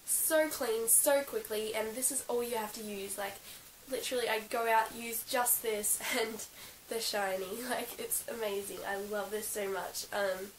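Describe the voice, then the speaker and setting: A young Australian woman with a croaky, under-the-weather voice chats through her favourite gear, full of giggles, ums and likes. She sits a little back from the mic in an echoey room, with a faint hiss running underneath. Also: a little high, steady tone, a little slow, hardly any pauses. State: croaky from being sick. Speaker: equestrian vlogger. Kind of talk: casual show-and-tell vlog. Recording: roomy, slightly distant with hiss.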